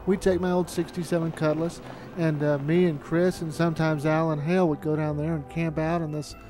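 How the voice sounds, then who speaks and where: Higher-voiced middle-aged man narrating. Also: darkish tone. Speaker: filmmaker narrator. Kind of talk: documentary reminiscence narration.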